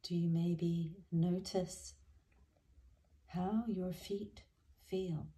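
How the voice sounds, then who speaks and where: A woman leading a guided meditation in a gentle, soothing, unhurried way with soft phrase endings. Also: fairly low pitch, fairly even intonation. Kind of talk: guided body scan meditation.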